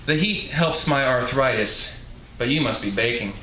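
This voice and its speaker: A man's voice: medium pitch, normal speed, sharp tone, close to an everyday voice. A man voicing a chatty, blunt elderly woman bartender in a folksy manner while reading a novel aloud. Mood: chatty, friendly. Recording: echoey room, faint background noise.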